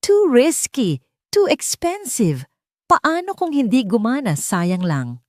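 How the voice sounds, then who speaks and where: A woman's voice: a little high, very animated, takes it slowly, a little mellow, dry recording, clean studio sound, voice pushed up. A woman with a Filipino accent voicing a cold, controlling, irritated fiancée in an audio story. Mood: tense, insistent. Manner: insistent, cold.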